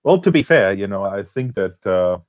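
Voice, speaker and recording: A man's voice: fairly low pitch, animated, moderate pace. A man from Latvia with a Latvian accent, talking casually and hesitantly with many fillers and restarts. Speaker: forum member being interviewed. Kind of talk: casual conversation.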